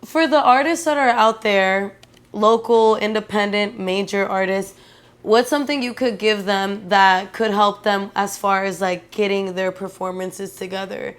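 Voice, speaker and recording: A woman's voice: medium pitch, measured pace. A young woman hosting a podcast, chatting casually with a guest and saying "like" often. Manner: relaxed, chatty, uses "like" often. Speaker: podcast host. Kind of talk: casual interview chat.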